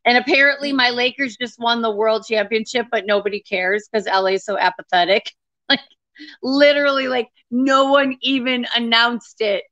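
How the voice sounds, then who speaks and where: A woman's voice: fairly high pitch, steady tone, a bit bright. A woman comedian from Detroit with a Midwestern accent, joking chattily as a guest on a video call from home. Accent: Midwestern American. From Michigan, Detroit. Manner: chatty, quick joking asides. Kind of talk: casual chat. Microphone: video call laptop mic. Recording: dull video call audio.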